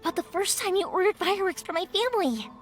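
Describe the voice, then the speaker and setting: A woman's voice: extremely high pitch, lively intonation, fast. A woman voicing a cheerful young firework maker in a game, bubbly and rambling as she chats. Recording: game audio with music behind.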